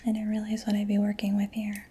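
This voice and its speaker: Soft whispering woman, very even pitch. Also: fast. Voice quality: soft, breathy, mostly whispered. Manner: gentle, personal-attention murmuring. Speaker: ASMR performer. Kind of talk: soft-spoken ASMR mechanic roleplay. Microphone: close-up ASMR microphone. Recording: close-miked with clear background noise.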